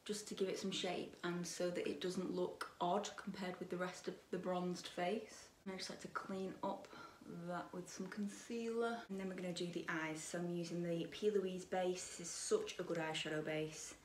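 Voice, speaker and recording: A young woman in her twenties chatting while she does her makeup at a dressing table, gossipy and opinionated, her voice a bit croaky. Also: lowish voice, little pitch movement, moderate pace, hardly any pauses, echoey room, far from the mic, a little hiss or hum. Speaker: beauty vlogger. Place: bedroom dressing table with lit mirror, indoors.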